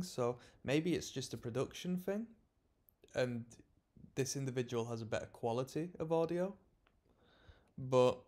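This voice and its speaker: A young man in his twenties hosting a music reaction, talking in a relaxed, rambling, slightly sleepy way from a small room. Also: medium pitch. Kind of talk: casual music reaction commentary. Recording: song playing faintly behind.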